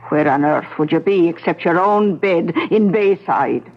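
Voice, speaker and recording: An actress playing a long-suffering, fondly scolding wife with a coaxing, gently exasperated manner in a 1970s radio drama. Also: female voice, fairly low pitch, expressive, a little slow. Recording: dull 1970s radio broadcast recording.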